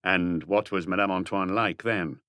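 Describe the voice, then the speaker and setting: A man voicing a calm, authoritative chief inspector in an audiobook, measured, terse and businesslike. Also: low voice, dry recording, studio quality. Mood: calm, inquiring. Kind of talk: audiobook character dialogue.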